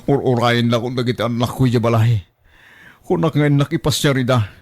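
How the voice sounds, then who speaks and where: A man's voice: medium pitch, steady tone, a little fast, natural voice. An actor with an Ilocano Filipino accent playing a complaining married man torn between lover and children, in radio drama style.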